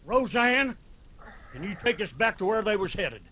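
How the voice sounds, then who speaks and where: An actor playing a stern, commanding mountain clan patriarch with an Appalachian accent in a radio drama. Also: male voice, sits high, big pitch swings, moderate pace, sharp tone, full character voice.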